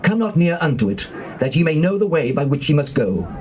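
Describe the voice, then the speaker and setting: Casting: biblical army officer, slightly raised pitch, authoritative proclamation, noisy ambience. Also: male voice, sing-song, fairly quick, lo-fi sound. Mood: commanding. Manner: declamatory, authoritative. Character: Israelite officer instructing the people, commanding. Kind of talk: dramatized King James Bible acting. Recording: clear background noise.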